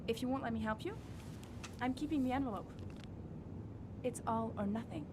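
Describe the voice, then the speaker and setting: A woman's voice: fairly high pitch, normal speed, background noise, lightly acted. An actress playing a grieving, determined mother in a video game, earnest and hesitant but insistent. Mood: pleading, insistent. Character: grieving, determined mother seeking her son's killer. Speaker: actor. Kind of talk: cinematic video game dialogue. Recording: music behind the voice.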